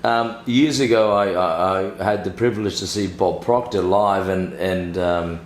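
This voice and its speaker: A man's voice: low-pitched, fairly even intonation, measured pace. A man interviewing in a casual, matey, cheeky way, happy to be crude. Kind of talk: casual, blokey interview chat. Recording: echoey room with faint noise.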